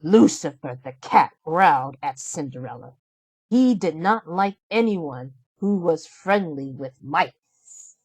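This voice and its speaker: Unhurried, expressive woman reading a story. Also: sits low, husky, no room sound. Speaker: storybook reader. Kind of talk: children's read-aloud narration.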